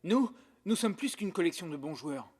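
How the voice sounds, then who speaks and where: An actor playing a loyal, enthusiastic footballer with a gravelly voice in a French cartoon dub. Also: male voice, fairly high pitch, expressive, normal speed, noisy background, natural voice.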